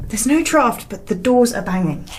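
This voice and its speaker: An actress playing an uneasy, suspicious young wife in a naturalistic radio drama, questioning and insistent in a conversational way. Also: female voice, fairly high pitch, expressive, moderate pace, music in the background, natural voice.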